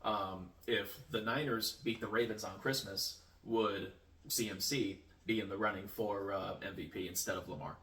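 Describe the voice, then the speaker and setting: Deep bright-toned man, casual. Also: steady tone, moderate pace, reverberant, far from the mic, rough recording. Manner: easygoing, many "um" and "it's like". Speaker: co-host. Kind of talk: casual sports chat.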